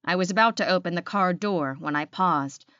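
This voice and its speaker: A woman's voice: fairly low pitch, moderate pace, fairly bright tone, no echo, lo-fi sound. A woman reading a scripted audiobook, narrating dramatic fiction in the first person.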